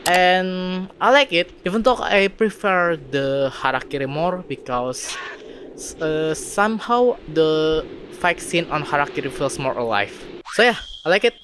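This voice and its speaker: A person giving casual, excitable reaction commentary as a video editor and host, repeating words for emphasis. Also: mid-range voice, measured pace, background noise. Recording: voice over loud demo music.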